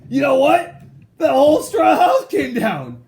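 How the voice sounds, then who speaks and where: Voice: sits high, wildly swooping pitch, measured pace, creaky, darkish tone, pitched above the performer's own voice, full character voice. A reader voicing a sly, aggrieved male wolf who tells his side of a storybook tale in a chatty, mock-innocent, self-justifying way. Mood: excited.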